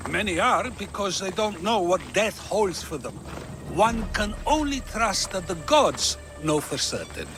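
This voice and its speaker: Casting: theatrical Greek philosopher for a game cutscene, high-pitched, very expressive intonation, declamatory, music behind. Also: male voice, unhurried. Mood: musing, reverent. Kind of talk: cinematic video game acting.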